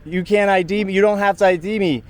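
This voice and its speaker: Loud, high-voiced man arguing the law. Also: fairly even intonation, quick pace. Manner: insistent, interrupting, apologetic, cites the law. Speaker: detained citizen filming police. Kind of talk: arguing with police. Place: outdoors by parked cars.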